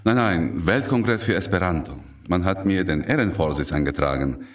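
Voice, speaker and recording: A genial old doctor in a radio drama. His very deep voice moves in lively swings, wry and affable, a little away from the mic. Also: normal speed. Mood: modest, pleased. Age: older. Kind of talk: radio play acting. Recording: slightly distant from the mic.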